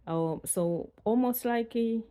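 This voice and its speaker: A Malawian woman in her forties from the north of the country, telling her own life story in an unhurried way with many pauses and fillers. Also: fairly high pitch, warm tone. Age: 40s. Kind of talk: reflective personal storytelling.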